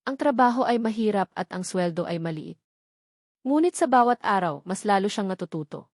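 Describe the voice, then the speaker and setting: A woman narrates a scripted love story in a dramatic storytelling style. Her voice sits at a medium pitch, recorded very dry and close, with no room around it. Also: animated, moderate pace, no echo.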